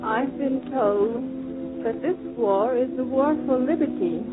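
A woman's voice: sits high, measured pace. An actress playing an earnest schoolgirl reciting her composition carefully in a 1940s radio drama. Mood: earnest, solemn. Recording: tinny old radio with music behind.

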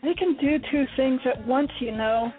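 A woman's voice: high-pitched, normal speed, close to an everyday voice. A woman voicing a blunt, sarcastic young female student in an amateur radio play. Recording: tinny phone line with faint music.

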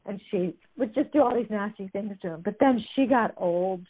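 A woman's voice: mid-range voice, moderate pace, breathy edge. A woman chattily retelling stories as a guest, amused, with many fillers. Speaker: guest discussing a book. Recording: tinny phone-like line.

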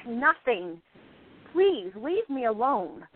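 High-voiced, bitter young actress played by a woman. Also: very animated, slow, background noise. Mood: curt, withdrawn. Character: depressed, envious, defensive actress almost thirty. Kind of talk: radio play acting. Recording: thin phone-like audio.

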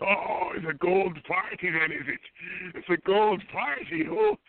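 A gold-obsessed old Irishman with a put-on Irish accent, as a man puts him on over the phone insists and frets in a theatrical, wavering lilt. His voice sits high and leaps all over the place. The sound is tinny and dry, like a phone line. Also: big pitch swings, unhurried, creaky, no echo, exaggerated voice. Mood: insistent. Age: older. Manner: insistent, confused, calls others "lassie". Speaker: caller. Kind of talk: prank call. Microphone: telephone.

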